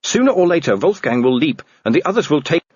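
A man voicing a tightly controlled young werewolf woman who speaks low, level and muttering. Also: big pitch swings, quick pace, a little mellow, breathy edge, muffled recording. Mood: tense.

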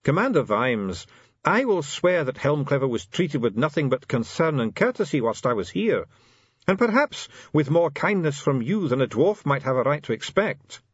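A man's voice: on the high side, big pitch swings, moderate pace, husky, no room sound, dull audio, voice pushed up. A man voicing a solemn, dignified male dwarf elder who talks in a grave, formal way.

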